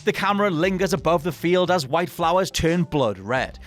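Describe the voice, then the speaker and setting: A man's voice: fairly high pitch, expressive, moderate pace, a bit bright. A man presenting a scripted countdown list as a narrator, with an emphatic, dramatic delivery. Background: music under the voice.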